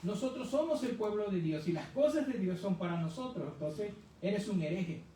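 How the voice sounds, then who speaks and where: High-voiced man teaching in a very echoey room. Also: animated, normal speed, warm tone. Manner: didactic, poses rhetorical questions to listeners. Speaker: church Bible teacher. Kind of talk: conversational expository Bible teaching. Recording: very echoey room, distant mic, faint noise.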